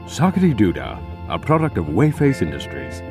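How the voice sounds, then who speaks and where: A man's voice: fairly low pitch, wildly swooping pitch, moderate pace, over music. A man playing a sponsor announcer, reading a parody advert in a fiction podcast. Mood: smooth selling. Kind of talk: scripted ad read.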